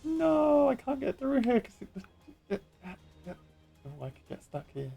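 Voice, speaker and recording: A woman's voice: a little high, big pitch swings, normal speed, creaky, mellow, husky, highs cut off, faint music behind. A woman streamer chatting playfully and casually while playing a game, laughing easily. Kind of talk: casual let's-play commentary.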